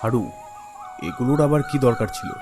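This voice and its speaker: A man plays an elderly retired schoolmaster in a village cartoon. He is gentle and reflective, with measured speech at a medium-low male pitch, and music plays behind him. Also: natural voice. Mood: kindly, calm. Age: older. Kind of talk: cartoon character acting.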